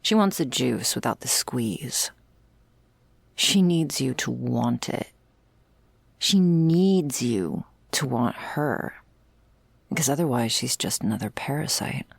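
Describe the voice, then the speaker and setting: A woman playing a jaded, wry, terminally ill shopkeeper who serves a vampire, speaking in an intimate, deadpan ASMR roleplay monologue. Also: no echo, exaggerated voice. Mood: sardonic, musing. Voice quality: low, husky, gravelly. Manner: deadpan, sardonic, slow and pausing, swearing casually.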